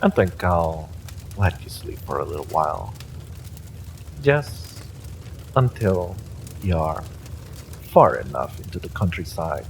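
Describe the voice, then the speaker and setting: A man performs an evil sorcerer warlord from a fantasy audio drama. The voice is very low and unhurried, swinging widely in pitch as it mocks and threatens with silky, smug courtesy. There is faint noise behind it. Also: very animated, gravelly, exaggerated voice, rough recording. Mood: soft, hypnotic. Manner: taunting, theatrical, drawn-out delivery with sinister chuckles. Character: evil male sorcerer warlord, mocking, menacing, smugly courteous. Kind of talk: fantasy audio roleplay acting.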